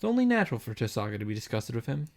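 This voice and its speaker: A man's voice: mid-range voice, sing-song, very fast. A man hosting a story recap, reading the narration dramatically and adding wry commentary of his own. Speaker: host of a visual novel reaction and summary series.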